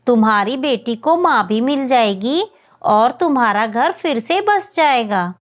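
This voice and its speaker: A woman's voice: on the high side, lively intonation, unhurried, dull audio. A woman voicing a practical, persuasive neighbour bird woman in a children's cartoon story, with a coaxing manner.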